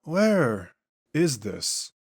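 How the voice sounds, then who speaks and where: Man playing an eerie possessing entity, dragging slow speech, dry close sound, detached. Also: medium pitch, very animated, husky, no echo, exaggerated voice. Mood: cold.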